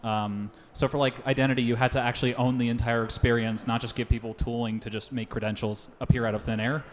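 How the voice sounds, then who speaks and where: A man on a conference panel giving direct, practical advice, rapid and blunt. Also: mid-range voice, flat delivery, a little hiss or hum. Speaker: panelist, investor and builder. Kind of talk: panel debate answer. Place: large conference hall. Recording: roomy echoey conference hall.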